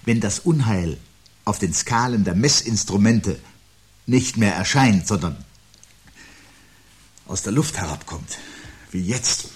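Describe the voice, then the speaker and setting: Very deep, husky, brooding husband. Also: male voice, lively intonation, exaggerated voice. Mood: grave, brooding. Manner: brooding, deliberate, weighing every word. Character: uneasy, brooding husband sensing a looming catastrophe. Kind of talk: 1970s radio drama acting.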